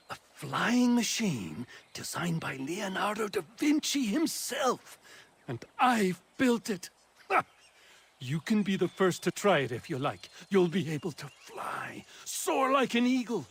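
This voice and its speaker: An actor playing an excitable, eccentric inventor in a video game, raspy and creaky, with a marvelling, theatrical manner. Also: male voice, high voice, exaggerated voice. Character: excitable, eccentric male inventor, boastful and eager. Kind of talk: dramatic video game acting.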